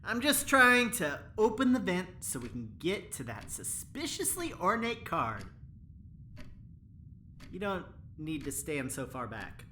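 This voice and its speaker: Overconfident lady engineer and peacemaker, excitable, defensive, very animated, audio drama. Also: fairly low pitch, a little slow, a little hiss or hum, full character voice. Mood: eager, insistent. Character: chirpy overconfident woman engineer, eager peacemaker among prisoners. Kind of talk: audio drama dialogue acting.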